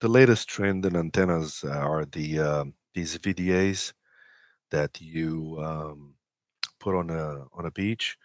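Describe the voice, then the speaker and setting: Deep-voiced man, casual and halting. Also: no room sound, studio quality. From Romania. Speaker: interview guest, amateur radio expeditioner. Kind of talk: casual technical interview talk.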